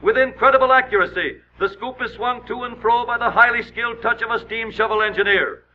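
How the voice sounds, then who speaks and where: A high-voiced American man narrates in dramatic 1930s newsreel style. His delivery is emphatic and declamatory, like a period announcer. The soundtrack is muffled and noisy, as on old film. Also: a little fast.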